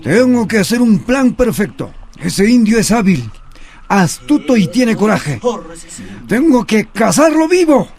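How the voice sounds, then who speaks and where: A man's voice: high-pitched, sing-song, unhurried, darkish tone, heavily acted. A man playing a ruthless, threatening colonial Spanish military commander in a radio drama. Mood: menacing, scheming. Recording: radio drama with crowd and horse sounds.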